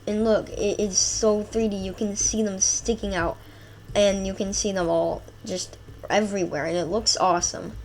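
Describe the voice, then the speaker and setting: A woman with a stuffy nose from a cold, chatting excitedly through a gameplay video, rambling through lists with fillers. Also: medium pitch, normal speed, slight background noise, poor audio. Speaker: gaming channel host. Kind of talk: casual gameplay commentary.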